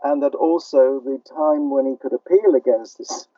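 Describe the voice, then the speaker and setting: A man's voice: medium pitch, moderate pace, warm tone, no echo. An older man with a British accent answering interview questions conversationally as a playwright, a little hesitant, over a remote call line. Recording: dull, band-limited call line.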